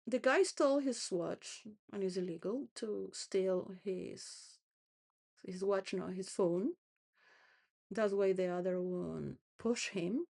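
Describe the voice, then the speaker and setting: Woman vlogger, meandering monologue, restarts and stumbles, mid-pitched voice. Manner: meandering, restarts, emotional swings, laughs between phrases. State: homeless. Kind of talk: rambling personal monologue.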